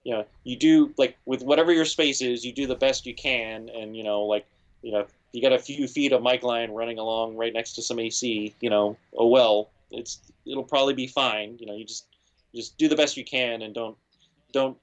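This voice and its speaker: A man, a guest audio engineer, giving relaxed advice in an interview and filling his speech with "you know" and "like". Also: mid-range voice, normal speed. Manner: laid-back, lots of "you know" and "like". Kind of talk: casual interview talk.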